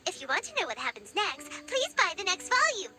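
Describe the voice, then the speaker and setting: Squeaky, tiny, excitable fairy. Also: female voice, fairly quick, over music, full character voice. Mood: cheeky. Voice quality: squeaky, childlike. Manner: blunt, bubbly, excitable. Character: tiny, cheeky, excitable childlike floating fairy companion. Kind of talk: anime game character acting.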